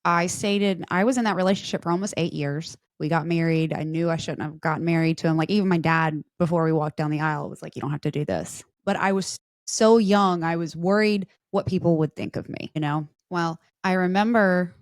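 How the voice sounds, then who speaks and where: A woman in her forties tells stories in a lively, chatty way and slips into other people's voices as she goes. Her voice sits a little low for a woman. The sound is dry and close, with no room echo. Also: fairly quick, hardly any pauses. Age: 40s. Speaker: podcast guest. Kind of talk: casual storytelling chat.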